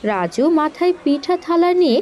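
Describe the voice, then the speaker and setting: High, lively woman storyteller. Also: moderate pace, muffled recording. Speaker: narrator. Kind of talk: scripted children's story narration.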